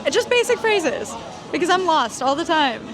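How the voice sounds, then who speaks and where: A woman answering questions in a quick, upbeat way during a street interview. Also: sits high, big pitch swings. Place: busy city street outdoors. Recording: outdoor street with crowd and music.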